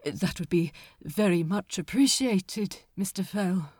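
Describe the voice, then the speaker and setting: A woman voicing a courteous, soft-spoken, sad gentleman in an audiobook. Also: fairly low pitch, lively intonation, slow pace, slightly rough, no room sound, studio quality, heavily acted. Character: gentle, sad, dignified gentleman, practical and polite. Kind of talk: acted audiobook dialogue.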